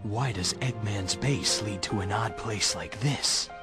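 A man's voice: on the low side, takes it slowly. A man voicing a brooding, stoic hedgehog antihero in a video game, terse, cold and serious. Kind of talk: video game cutscene acting. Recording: music under the voice.

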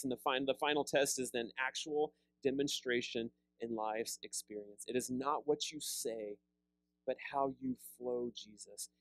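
A pastor in his thirties preaching a sermon, seated with a handheld mic, animated and conversational, often repeating words as he restarts. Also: mid-range voice, dry recording. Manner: animated preaching with big hand gestures, rising loud on emphasis. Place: church fellowship hall, seated on a stool.

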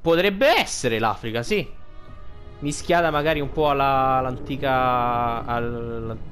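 A man giving casual gameplay commentary as a streamer, colloquial and thinking out loud, with some swearing. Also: mid-range voice, unhurried. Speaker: video game streamer. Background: game music.

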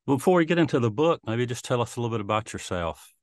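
An older man hosting an interview over a video call, unhurried and full of fillers. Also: mid-range voice, animated, dry recording, studio quality. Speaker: host. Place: home room with guitars and shelves.

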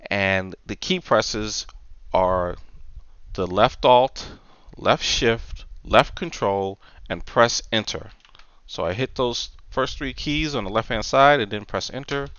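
A man presenting a software tutorial unscripted, explaining step by step in a halting way with pauses and repeats. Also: fairly low pitch, clean tone, no room sound, lo-fi sound. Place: indoors, dry voice-over for screen recording.

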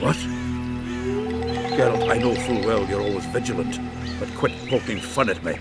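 A man playing a gruff, hearty, foul-mouthed dwarf warrior in a video game, blunt and grumbling. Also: on the low side, moderate pace, loud music behind the voice, lightly acted.

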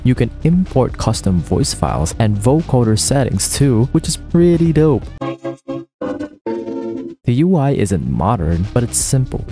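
A man's voice: mid-range voice, dark tone. A man hosting a tech review video, narrating a scripted countdown in informal language over instrumental music. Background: light instrumental music.

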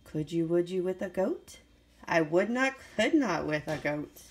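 A woman's voice: lowish voice, animated, unhurried. A woman teacher reading a children's story aloud expressively, with rhythmic phrasing and acted dialogue. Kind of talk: expressive picture-book read-aloud.

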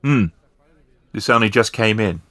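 A man playing a brisk, distracted samurai magistrate of about sixty in a tabletop game. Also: lowish voice, a bit bright. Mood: musing.

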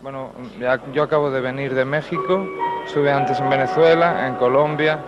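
A young man from Spain, a singer answering press questions in an easy, measured way with some fillers, recorded on an interviewer's handheld mic. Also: mid-range voice, over loud music. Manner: relaxed, measured replies with fillers. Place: recording studio, later outdoors at an airport. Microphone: handheld mic held by interviewer. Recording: muffled 1970s TV film sound.

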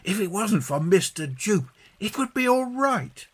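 A man plays a young boy in a raised, lilting storybook voice. The boy is meek and uneasy, following along agreeably at a slow pace. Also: sits high, sing-song, husky, pitched above the performer's own voice, heavily acted. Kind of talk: children's storybook reading.

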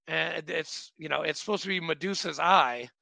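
A man hosting a podcast, chatty and quick to jump in with asides. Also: on the high side, gravelly, sharp tone. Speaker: podcast host. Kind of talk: casual interview chat.

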